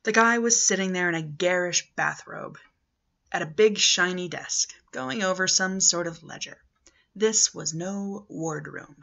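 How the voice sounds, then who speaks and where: A woman in her thirties narrates a novel in a fairly low, gravelly voice with a bright, sharp edge. She is recorded indoors on a slightly dull webcam mic. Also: measured pace. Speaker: amateur audiobook reader. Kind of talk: scripted narration, reading a novel aloud. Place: living room at home, indoors. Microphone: webcam or laptop at arm's length.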